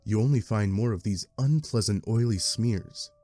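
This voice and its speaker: A man's voice: lowish voice, normal speed, dark tone, natural voice. A man playing a wry, theatrical narrator in a fan-dubbed webcomic, with an arch scripted delivery. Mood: dramatic. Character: deadpan, wry omniscient game-style narrator. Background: music.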